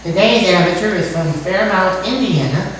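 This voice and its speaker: A woman playing a self-important male talk show host obsessed with birds, pompous and deliberate, in old-time radio comedy style. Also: on the low side, natural voice. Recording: echoey room, distant mic, faint noise.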